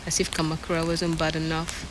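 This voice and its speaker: A woman's voice: sits low, completely flat delivery, fairly quick. A young woman from Nigeria, chatting casually in a vlog and laughing often between lines, filmed on a phone outdoors near a road. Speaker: vlogger. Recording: noisy street recording with passing traffic.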